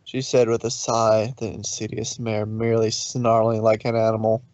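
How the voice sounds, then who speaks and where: A man's voice: lowish voice, completely flat delivery, normal speed, a little mellow, dry recording, muffled recording. A man narrating a story aloud in a dramatic reading style.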